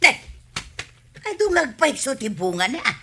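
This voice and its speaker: A woman's voice: sits high, big pitch swings, unhurried, sharp tone, slight background noise, heavily acted. A woman playing a cheeky, thrifty village neighbor in an Ilocano comedy radio drama, exclaiming and drawing out her banter in an Ilocano accent. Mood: playful, brazen.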